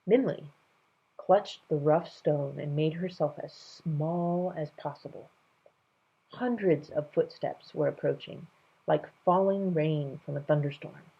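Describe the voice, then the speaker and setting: Slow, expressive woman reading a story aloud. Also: sits low, vocal fry, breathy edge, lo-fi sound. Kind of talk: expressive scripted storybook narration.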